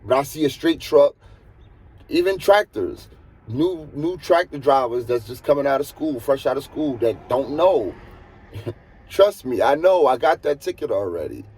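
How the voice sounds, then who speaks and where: A man with a husky voice, talking casually as a truck driver giving earnest, emphatic advice and repeating points for stress. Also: mid-range voice, lively intonation, measured pace, darkish tone, poor audio. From United States. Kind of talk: casual advisory monologue.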